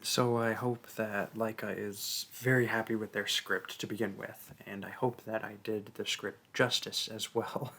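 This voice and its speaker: Slow, hesitant man thanking listeners. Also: fairly low pitch, little pitch movement, pushed and bright, a little hiss or hum. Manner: hesitant, lots of ums, bashful laughs. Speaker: voice actor. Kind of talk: casual thank-you outro.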